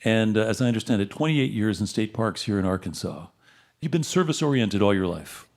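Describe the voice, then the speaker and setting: A man's voice: lowish voice, lively intonation, brisk, a little mellow. A man hosting a live radio show on stage, introducing and interviewing guests in a conversational way. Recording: live stage with audience crowd.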